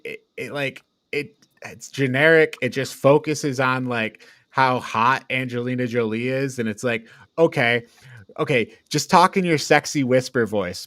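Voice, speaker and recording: A man's voice: medium pitch, fairly bright tone, no room sound. Man casually critiquing a film, close and dry. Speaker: podcast co-host reviewing films. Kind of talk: casual chat, film review.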